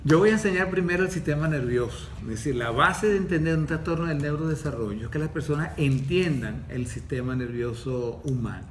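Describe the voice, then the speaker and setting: A man's voice: on the high side, brisk, few pauses, a little hiss or hum. A man, a course facilitator explaining things in a podcast conversation, often checking in with "ok?". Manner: didactic, repeats himself, checks in with "¿ok?". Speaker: diploma course facilitator teaching the nervous system.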